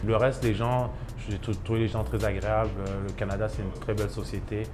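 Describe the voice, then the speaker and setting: A man's voice: fairly low pitch, little pitch movement, brisk, darkish tone, faint music behind. A man in his thirties from Ivory Coast, a chef and restaurant owner, speaking in a documentary interview with many hesitations and restarts.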